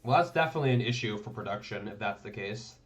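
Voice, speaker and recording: A man's voice: lowish voice, lively intonation, fast talker. A man live-streaming his commentary on tournament match footage, rambling and thinking aloud, often tagging his remarks with "right?". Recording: dull lo-fi stream audio.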